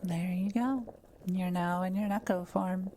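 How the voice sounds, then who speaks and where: A woman playing a kind forest witch in a roleplay audio drama, speaking softly, carefully and patiently to the listener. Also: on the low side, steady tone, a little slow, slight background noise, natural voice. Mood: caring, attentive. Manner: soft-spoken, careful, patient explaining. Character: kind, quiet-living forest witch, gently reassuring.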